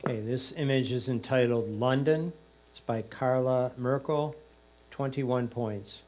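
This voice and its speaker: A man's voice: medium pitch, a little slow, a little mellow, rough recording. A man plainly announcing entries and scores at a competition. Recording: faint mains hum.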